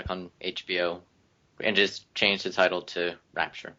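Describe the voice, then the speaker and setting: A man with a deep voice talks casually on a panel. It has a bright, forceful edge. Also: little pitch movement, normal speed, muffled recording. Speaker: podcast panelist. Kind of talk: casual chat.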